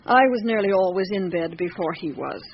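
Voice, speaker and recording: An actress playing a guarded seventy-year-old housekeeper in a radio drama, giving curt, reticent, matter-of-fact answers. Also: female voice, lowish voice, expressive, fairly quick. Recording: dull lo-fi radio recording with clear hiss.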